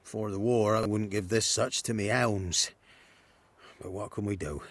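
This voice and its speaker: A man's voice: on the low side, fairly even intonation, normal speed. An actor playing a humble, superstitious male villager in a video game, speaking earnest rustic dialect. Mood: resentful, resigned. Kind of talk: video game character acting.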